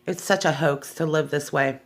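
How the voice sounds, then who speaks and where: A woman's voice: low-pitched, normal speed, creaky. A middle-aged American woman giving an unscripted personal monologue, speaking in an aggrieved, emphatic way and repeating phrases for stress. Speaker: host of a personal awareness show.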